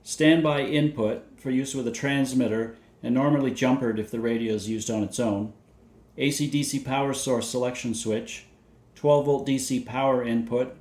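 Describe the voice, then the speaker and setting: A man presenting a scripted technical overview in a measured, matter-of-fact way, reading out details methodically. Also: mid-range voice, steady tone, darkish tone. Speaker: presenter of vintage radio videos. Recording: roomy, echoey indoor sound.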